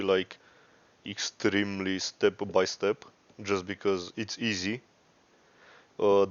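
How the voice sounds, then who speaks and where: A man with a Romanian accent giving a casual, unscripted software tutorial, rambling and hesitant, often correcting himself. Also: fairly low pitch, dry recording. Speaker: tutorial host. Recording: dry, dull lo-fi microphone.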